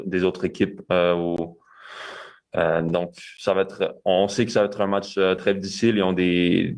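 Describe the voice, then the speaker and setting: A young man, a goalkeeper answering press questions with many fillers and restarts. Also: deep voice.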